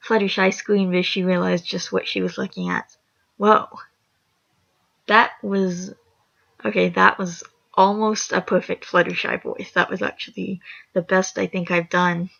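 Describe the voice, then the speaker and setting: A young woman with a raspy voice, reading a horror fan story aloud, rambling and apologetic with chatty asides. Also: mid-range voice, measured pace, pushed and bright. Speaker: story reader. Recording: lo-fi dull recording.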